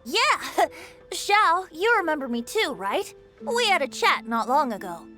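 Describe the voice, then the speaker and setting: A woman voicing a cheerful, playful young male bard with a theatrical, breezy, flattering manner in a video game. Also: sits high, unhurried, heavily acted. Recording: music behind the voice.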